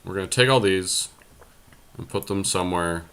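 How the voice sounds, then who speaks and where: A man's voice: sits low, moderate pace, sharp tone, slight background noise. A man hosting a podcast, casually talking through card choices for a game and thinking aloud as he goes. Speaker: podcast host. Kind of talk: casual card game commentary while deckbuilding.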